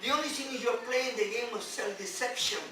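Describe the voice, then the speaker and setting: A man who is a non-native English speaker, a rabbi giving an emphatic sermon in halting phrases with repeats and rhetorical questions. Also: very high voice, sharp tone. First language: not English. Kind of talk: sermon on scripture. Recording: distant, very echoey, noisy room recording.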